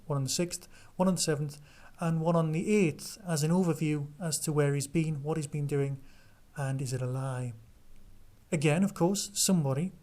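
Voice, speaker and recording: A man presents his podcast in a casual, conversational style, talking through news and reviews on his own. His voice sits a little higher than average for a man. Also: dark tone. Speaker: podcast host. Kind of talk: casual solo podcast review and listener chat.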